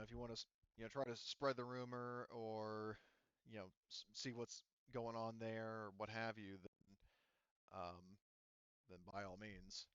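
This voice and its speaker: Guy game mastering online, smooth voice, kinda halting and roundabout, dry slightly dull mic. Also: fairly low pitch, no echo. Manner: halting, circling explanations. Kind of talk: running a tabletop roleplaying game online. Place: remote online voice call.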